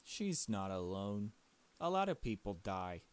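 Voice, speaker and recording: A man's voice: fairly low pitch, very animated, a little slow, smooth. A man voicing a kind, earnest, mild-mannered girl in a visual novel, hesitant and reassuring.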